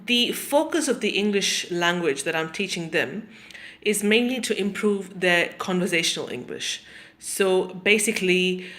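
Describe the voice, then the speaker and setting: A woman, introducing herself as a teacher in a measured way, often pausing on "um" and listing things. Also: on the low side, fairly bright tone. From Australia. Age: 30s. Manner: measured, with frequent ums and listing. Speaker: English teacher. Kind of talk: self-introduction to camera.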